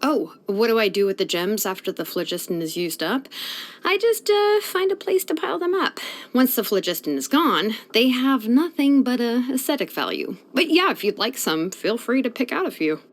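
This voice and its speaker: An actress playing a sleepy, laid-back young woman blacksmith in a fantasy video game, speaking in a casual, unhurried way. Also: on the high side, sing-song. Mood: matter-of-fact, relaxed. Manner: unhurried, casual, hesitant drawl with sighs. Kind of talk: English video game voice acting.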